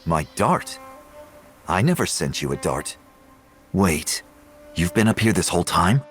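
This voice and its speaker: A man voicing an excitable, sharp-witted comrade in a game, animated and dramatic. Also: sits low, unhurried, soft background music. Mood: alarmed. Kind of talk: English game voice acting.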